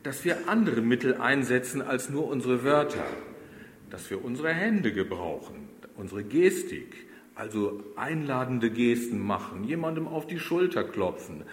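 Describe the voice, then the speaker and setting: Middle-aged pastor in a reverberant church. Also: male voice, mid-range voice, expressive, measured pace. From Germany. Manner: conversational, addresses the congregation directly. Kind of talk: sermon. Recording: roomy church with long reverb.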